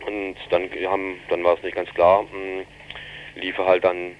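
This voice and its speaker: A man gives an unscripted eyewitness account in an interview. His voice sits low, and the recording is somewhat dull and narrow. He rambles haltingly, breaking off and rewording as he explains. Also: completely flat delivery, clear, resonant tone. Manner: halting, rambling, keeps reformulating sentences. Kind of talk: spontaneous informal interview account. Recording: dull, band-limited radio interview recording.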